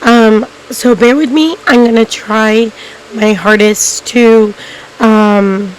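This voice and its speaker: A woman with a hoarse, cracking voice, hosting a documentary-style video and talking casually to viewers, rambling with many ums and restarts. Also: a little high, fairly even intonation, slow pace, a little hiss or hum, poor audio. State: hoarse, losing her voice. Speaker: YouTube documentary host. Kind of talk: casual unscripted narration to viewers.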